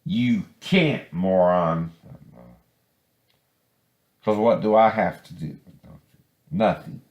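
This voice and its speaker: A man's voice: low voice, drawn-out words, darkish tone. A man giving rambling, informal commentary in a bitter, profane, confrontational manner. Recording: echoey, roomy sound.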